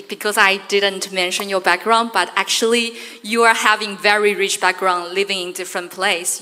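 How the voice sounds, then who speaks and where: A woman's voice: mid-range voice, pushed and bright. A woman moderating a panel, friendly, with loose phrasing full of fillers. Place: large conference hall. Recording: reverberant conference hall.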